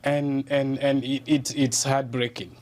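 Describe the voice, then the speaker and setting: A man's voice: mid-range voice, level tone, a little slow, darkish tone. Indignant man with a Cameroonian French accent. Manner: earnest, indignant, with fillers and repeated starts. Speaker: journalist guest. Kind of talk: TV interview.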